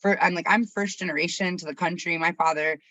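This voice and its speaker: A person of about forty from Texas, whose first language was Arabic, leading a workshop chat on a video call in an open, chatty way with quick asides. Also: mid-range voice, fairly even intonation, bright, forceful tone, no room sound. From Texas, USA. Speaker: workshop facilitator. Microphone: computer mic on a video call. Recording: dull, dry video call audio.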